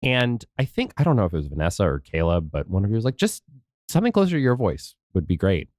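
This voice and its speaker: A voice actor chatting casually on a podcast Q&A, a little congested from a cold, rambling with restarts. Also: male voice, on the low side, big pitch swings, fast talker, a little mellow, no echo, clean studio sound.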